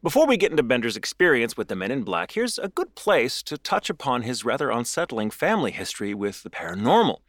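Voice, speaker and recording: A man's voice: medium pitch, big pitch swings, fast talker, hardly any pauses, fairly bright tone, dry recording, studio quality. A man hosting a show, telling a paranormal history in a theatrical, wordy storytelling manner with wry, casual, profane asides. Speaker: podcast host and storyteller.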